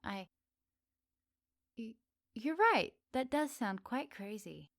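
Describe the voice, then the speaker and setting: Woman playing a slow, teasing flirty witch. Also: on the high side, no echo, clean studio sound, heavily acted. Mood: curious, amazed. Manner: teasing, coy, expressive with soft pauses. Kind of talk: ASMR roleplay, addressed intimately to the listener.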